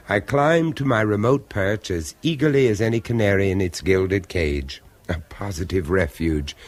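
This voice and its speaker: Deep, urbane gentleman storyteller. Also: no room sound. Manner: measured, refined, theatrical delivery. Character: urbane, reserved gentleman narrator, wry and aloof. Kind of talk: 1970s radio horror drama narration and dialogue.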